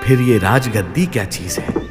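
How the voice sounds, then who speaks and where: An actor playing a proud, devoted young crown prince in an animated myth, speaking in solemn declamations. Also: male voice, fairly low pitch, normal speed, loud music behind the voice, lightly acted. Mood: pensive. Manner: declamatory, solemn vow-making. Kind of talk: dramatic animated mythological dialogue.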